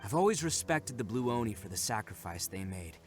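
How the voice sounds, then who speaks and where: A man's voice: lowish voice, big pitch swings, fairly quick, soft background music. A man playing a proud, brash, earnest young oni gang leader in a video game, speaking emphatically. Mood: proud, resolute. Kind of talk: scripted video game voice acting.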